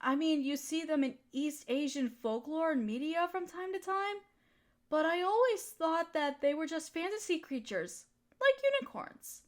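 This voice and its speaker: High-voiced doting girlfriend, acted by a woman. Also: expressive, moderate pace. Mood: fond. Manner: cooing, soothing pet-talk. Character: affectionate, teasing, nurturing girlfriend of a fox spirit. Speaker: audio roleplay voice performer. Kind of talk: intimate comfort roleplay monologue.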